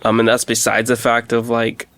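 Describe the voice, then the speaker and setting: Man, smooth clear voice, casual podcast co-host, tentative conversational delivery, dry recording. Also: medium pitch, completely flat delivery, normal speed, a bit bright, no echo. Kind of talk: casual discussion.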